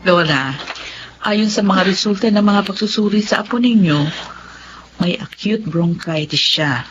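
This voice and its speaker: A woman playing a calm, reassuring pediatrician who explains things in a Filipino radio drama. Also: medium pitch, measured pace, natural voice. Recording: dull lo-fi audio, faint noise.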